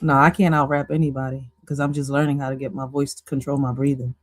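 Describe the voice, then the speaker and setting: A woman, hosting a casual livestream chat in a laid-back, halting way, laughing easily. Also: flat delivery, dry recording. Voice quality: deep voice. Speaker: livestream host.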